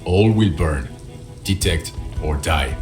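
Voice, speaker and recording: Bitter, low-voiced police detective. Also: male voice, expressive, slow, exaggerated voice. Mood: bitter, angry. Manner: sneering, profane, blunt. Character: bitter, abrasive, cynical male police detective. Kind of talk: dramatic video game dialogue. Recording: music behind the voice.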